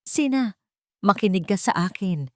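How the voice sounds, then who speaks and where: A woman's voice: mid-range voice, expressive, unhurried, darkish tone, dry recording, clean studio sound, pitched above the performer's own voice, close to an everyday voice. A woman reading as a determined, formal male lawyer in a romance drama story. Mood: urgent reassurance. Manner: firm, formal.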